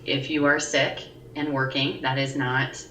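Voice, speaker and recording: A woman in her forties with a deep, scratchy voice, co-hosting a casual podcast chat and often pausing to search for words. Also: level tone, sharp tone. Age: 40s. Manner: pauses and searches for words, frequent ums. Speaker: podcast co-host. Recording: roomy, slightly distant mic with faint ambient noise.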